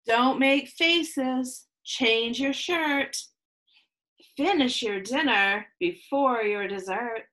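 Slow, sing-song woman reading to kids. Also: high voice, fairly bright tone. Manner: slow, sing-song rhyming delivery for children. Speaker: children's read-aloud host. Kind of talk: reading a picture book aloud. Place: home room with plants, indoors.

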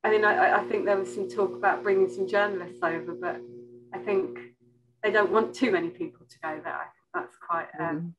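A woman's voice: medium pitch, fairly even intonation, fairly quick, muffled recording, background noise. A woman speaking informally as a curator on a panel over a video call, hesitantly searching for her words. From an island. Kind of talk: informal panel talk about an exhibition. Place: indoor room on a video call.

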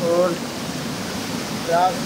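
A young man with a fairly high voice talks to his viewers while scrambling through a slippery cave, calling them guys and brother. He sounds tired and a little out of breath. The phone mic picks up loud rushing water all around him. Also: completely flat delivery, heavy background noise. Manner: chatty, addresses viewers as guys and bhai. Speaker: travel vlogger. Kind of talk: casual selfie vlog narration. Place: wet rocky cave and forest trail outdoors, by a waterfall. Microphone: handheld phone camera at arm's length. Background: waterfall and stream.

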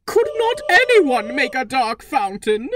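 A woman voicing a flamboyant, smug robot queen in a video game fan dub, with deliberate, theatrical delivery. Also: high voice, big pitch swings, fairly bright tone, slight background noise, exaggerated voice. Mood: grand musing. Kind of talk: fan-dubbed video game villain monologue. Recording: background music under the voice.

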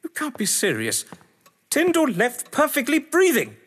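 A grandiose, sardonic gentleman of letters, played by a man in an audio drama. His voice sits high and swoops freely through eloquent, defensive speeches, ringing with long reverb. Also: wildly swooping pitch, measured pace, heavily acted. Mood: indignant, mocking. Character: haughty, theatrical games-obsessed male writer, defensive under suspicion. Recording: long echoing hall reverb.

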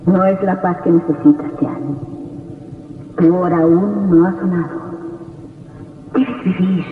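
Radio horror role: Death, solemn and cryptic, unhurried delivery, muffled distant recording. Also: male voice, fairly high pitch, creaky. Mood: grave. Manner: slow, solemn, pronouncing. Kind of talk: radio horror drama acting. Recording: echoing hall, muffled, faintly noisy old radio.

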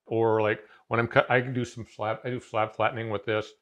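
A man's voice: fairly low pitch, flat delivery, a little fast, fairly bright tone. Rambling middle-aged man in a garage workshop. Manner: rambling, many fillers and restarts. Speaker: CNC machine dealer. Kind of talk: casual explanation in a workshop conversation. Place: large home garage workshop.

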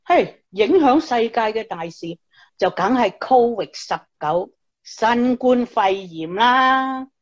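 An actress with a Hong Kong Cantonese accent playing a grumbling, skeptical, fun-loving young friend in a radio drama, with drawn-out, sighing delivery. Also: female voice, on the high side, animated, highs cut off. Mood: dismissive, teasing.

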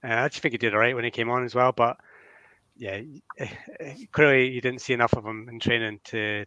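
A young man wearing a headset on a video call, arguing his football points in a lively, reasoned way. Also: medium pitch, quick pace, dry recording, lo-fi sound. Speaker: co-host.

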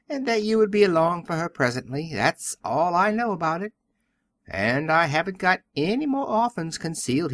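Brisk, jaunty male station master for a storybook, played by a woman; offhand, very animated delivery, lowered pitch.

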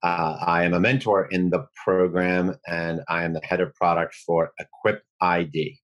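A man in his forties, speaking as a mentor in a measured, explanatory way over a video call from a home kitchen. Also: deep voice, fairly even intonation, a bit bright, rough recording. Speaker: mentor giving a talk. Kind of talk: online presentation.

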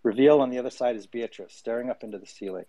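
A man speaking into a close, dry mic on an audio call, his pitch sitting in an ordinary middle range. He jokes around with halting fillers, then reads scene directions from a script at a steady pace. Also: no room sound, low-quality recording. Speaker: showrunner and host reading a script. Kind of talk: casual chat, then reading scene directions.

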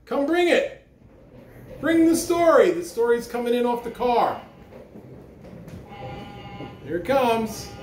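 Middle aged guy talking to schoolkids, really animated up and down, roomy living room sound a bit far off. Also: extremely high pitch, slow, pauses often, faint music behind. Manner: expressive, addressing children. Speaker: school announcer reading aloud. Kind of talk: morning announcements and children's story read-aloud. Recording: roomy, recorded away from the mic.

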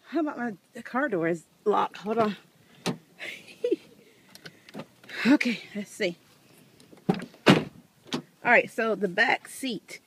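A woman's voice: medium pitch, slight background noise. Chatty woman giving a car tour. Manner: chatty, laughs often, talks to viewers as y'all. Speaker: vlogger. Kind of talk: casual vlog tour. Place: outdoors and inside a parked car. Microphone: handheld phone camera.

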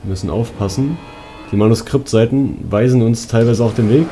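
A man's voice: mid-range voice, a little fast, mellow, faint music behind. A man giving casual let's play commentary as he guides viewers through a video game. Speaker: gaming YouTuber, let's player.

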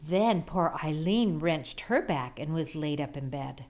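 A woman reading a children's picture book aloud as an expressive storyteller. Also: low-pitched, a little slow.

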